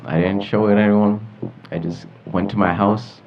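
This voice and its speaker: A teenage boy co-hosting a podcast, telling stories in casual, slangy, halting talk with some excitability. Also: lowish voice, slight background noise. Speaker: teen podcast co-host. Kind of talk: casual storytelling chat. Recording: dull lo-fi with loud music.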